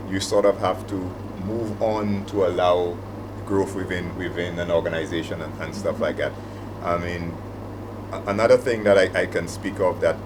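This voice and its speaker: Middle-aged male panelist, Saint Lucian accent, very low voice, conversational and anecdotal, clear background noise. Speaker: panelist, aviation operations manager. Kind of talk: reflective panel talk with anecdotes.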